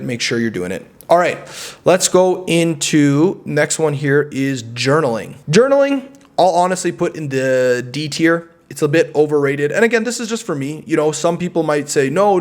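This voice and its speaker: A young man vlogging, giving casual self-improvement advice in an emphatic way. He often repeats words and adds "right?". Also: fairly high pitch.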